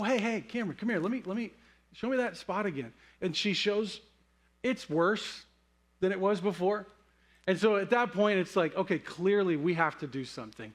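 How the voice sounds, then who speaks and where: A middle-aged man preaching a sermon as a pastor, telling stories conversationally and leaning into dramatic, weighted pauses. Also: high-pitched. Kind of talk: sermon with personal storytelling.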